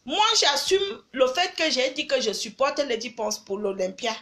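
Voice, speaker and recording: A high-voiced older woman with a cold talks to her followers in a live stream. She scolds and lectures with firm emphasis, often repeating a point to drive it home. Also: sing-song, normal speed, pushed and bright. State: has a cold. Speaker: livestream host. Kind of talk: live video chat with followers.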